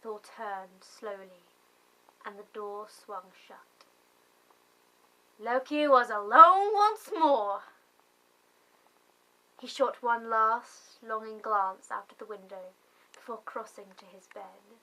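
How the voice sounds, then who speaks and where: A woman narrating a story in a theatrical, deliberate manner, swelling on key phrases for emphasis. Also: fairly high pitch, lively intonation, pushed and bright, poor audio. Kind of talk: dramatic story reading.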